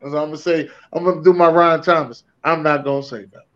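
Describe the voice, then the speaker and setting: Man, sports talk host, high voice, teasing, lo-fi sound. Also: fairly even intonation, quick pace. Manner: playful, teasing, hypes people up. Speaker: podcast host. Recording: dull lo-fi sound.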